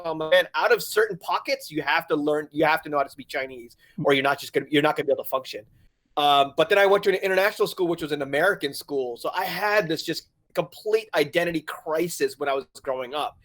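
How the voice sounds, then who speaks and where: Man, 40s, grew up in Hong Kong; lively storyteller, slightly higher voice, laughs a lot. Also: quick pace. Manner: animated storyteller, laughs often, acts out his own past thoughts. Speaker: guest, website founder. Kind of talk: casual interview conversation.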